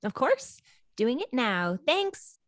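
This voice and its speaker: A woman's voice: high voice, huge pitch swings, slow pace, no echo, clean studio sound, heavily acted. A woman playing an eager, uneasy young woman in a script table read, casual and apologetic.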